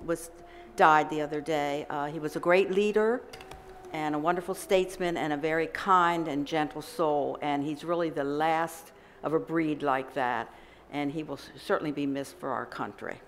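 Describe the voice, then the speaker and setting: A woman with a somewhat low voice speaks effusively during public comment at a council meeting. A faint hiss runs under the recording. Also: moderate pace. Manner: effusive, warm praise. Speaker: resident giving public comment.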